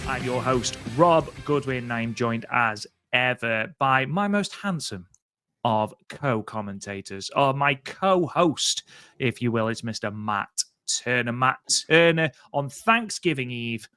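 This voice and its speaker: A man's voice: medium pitch, lively intonation, measured pace, bright, forceful tone, clean tone, no room sound, soft background music. A British man hosting a wrestling podcast in casual chat, with dry, mock-exasperated banter. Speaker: podcast host.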